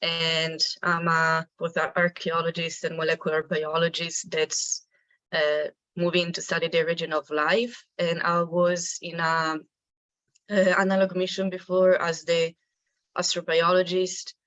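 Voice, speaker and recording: Woman, Italian accent, lowish voice, casual, muffled call audio. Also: a bit bright. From Italy. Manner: casual, filler-heavy. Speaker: archaeologist and molecular biologist. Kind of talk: self-introduction on a call.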